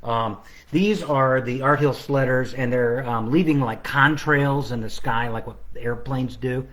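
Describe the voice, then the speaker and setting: A man's voice: mid-range voice, unhurried, fairly bright tone. A man reading his own picture book aloud at a children's storytime, chatting casually between the verses and stretching out the repeated refrain.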